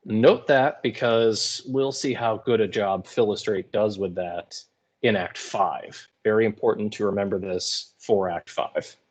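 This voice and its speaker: A man with a fairly low voice gives an informal lecture as a high school teacher. He explains things in everyday, colloquial terms, as commentary for his class. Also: steady tone, a little slow.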